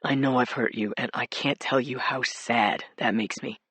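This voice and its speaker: A woman reader voicing a weary, secretive middle-aged husband who speaks low and apologetically. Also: steady tone, moderate pace, pushed and bright, slightly rough, dry recording. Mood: subdued.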